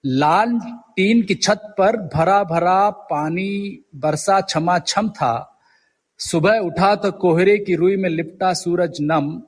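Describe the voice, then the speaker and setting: Husky higher-voiced man in reverb. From Uttarakhand, India. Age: 40s. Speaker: guest poet. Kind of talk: conversational interview storytelling.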